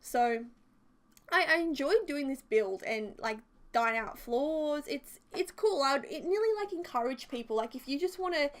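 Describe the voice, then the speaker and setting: A young woman with a slightly croaky, sore throat, chatting casually and rambling through a voice-over about her build. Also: high voice, expressive, moderate pace. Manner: chatty and rambling, many fillers and side notes. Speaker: video creator narrating a build.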